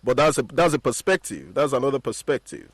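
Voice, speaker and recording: A man's voice: mid-range voice, very animated, brisk, husky, dry recording. A man, hosting a radio interview. He says "mm-hmm" often and fills his talk with "uh". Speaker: radio host.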